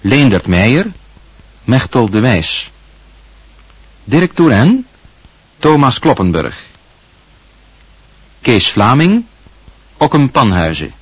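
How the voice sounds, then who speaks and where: A man reading the closing credits of a radio play in a formal, clearly enunciated announcer manner. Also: mid-range voice, big pitch swings, slow, long pauses, no room sound, rough recording. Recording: dry close studio sound.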